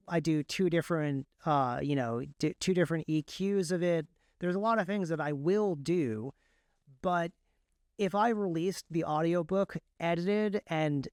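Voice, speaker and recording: A man with a smooth, clear voice on the higher side talks shop as a podcast guest. He explains technical things in a casual, enthusiastic ramble. The sound is dry and close. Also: darkish tone, no echo. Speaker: podcast guest, audiobook narrator.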